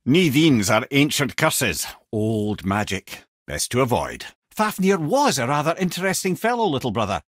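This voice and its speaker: Wise, talkative old Scottish sage, game character. Wry, eloquent, widely varied pitch, dry studio sound. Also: male voice, big pitch swings, normal speed, few pauses, a bit bright, no room sound. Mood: storytelling. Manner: eloquent, wry storyteller with colourful old-fashioned turns of phrase. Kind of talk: video game character lines, storytelling and banter.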